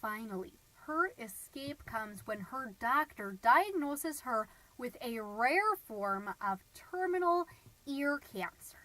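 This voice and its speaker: A woman's voice: a little high, lively intonation, slow, sharp tone, heavily acted, low-quality recording. A woman playing a chirpy, gossipy suburban stay-at-home mom who hosts a homemade comedy podcast in a folksy, rambling, self-satisfied way. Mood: gossipy, earnest. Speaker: podcast host.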